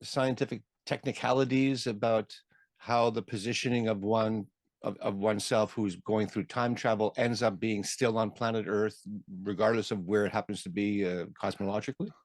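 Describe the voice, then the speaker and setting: A man's voice: lowish voice, steady tone, moderate pace, dry recording. A man interviewing someone conversationally, engaged and reflective as he rephrases his thoughts.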